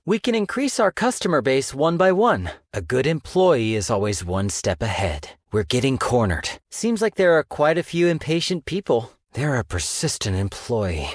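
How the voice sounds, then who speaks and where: An actor playing a sly young male stockbroker in a game, polite and businesslike with a quiet menace. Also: mid-range voice, no echo, studio quality. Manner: suave, polite yet menacing, business jargon. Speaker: game character voice lines. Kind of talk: studio game voice acting. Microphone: close studio mic.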